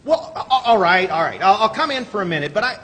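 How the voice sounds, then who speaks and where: A man's voice: high voice, very animated, fast talker, a bit bright. An actor playing a stubborn, lovestruck small-town young man who stammers and protests in a flustered, folksy way, in an old-time radio drama. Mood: flustered, defensive. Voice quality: stammering, hesitant. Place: theater stage, live performance. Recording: echoey theater stage, hissy live recording.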